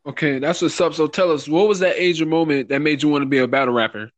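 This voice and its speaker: Male podcast host, very quick delivery, dry close sound, informal interviewing style. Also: a little high, no echo. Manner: informal, repeats stock phrases.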